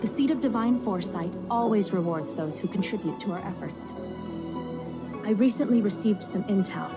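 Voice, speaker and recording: A woman playing a composed official who gives mission briefings in a video game, measured, formal and businesslike. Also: medium pitch, expressive, lightly acted. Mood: composed, serious. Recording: game music behind the voice.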